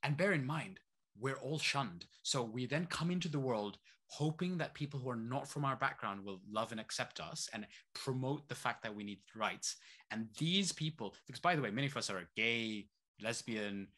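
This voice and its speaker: A man's voice: medium pitch, animated, normal speed, pushed and bright. A man who grew up between Saudi Arabia and East London, talking animatedly as a guest, firing off rhetorical questions. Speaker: activist guest. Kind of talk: conversational interview.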